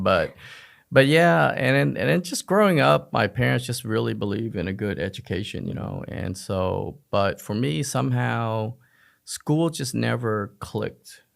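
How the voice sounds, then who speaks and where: A man's voice: lowish voice, very animated, unhurried, creaky. A man telling personal stories casually and informally, with self-deprecating rhetorical questions. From United States, raised in Oklahoma and elsewhere, Vietnamese family. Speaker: podcast guest, physician. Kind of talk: casual personal storytelling in a conversation.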